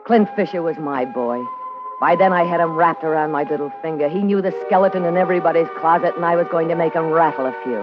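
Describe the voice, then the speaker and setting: A woman's voice: sits low, quick pace, lightly acted. An actress playing a brazen, scheming, slangy underworld woman in an old-time radio crime drama. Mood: hard-boiled narrating. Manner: brassy, defiant, slangy. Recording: dull lo-fi old radio recording with music.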